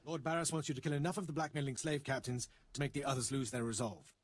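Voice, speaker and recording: An actor playing a dutiful, deferential military commander in a video game, giving a formal, brisk briefing. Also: male voice, medium pitch, steady tone, no echo, close to an everyday voice. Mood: businesslike.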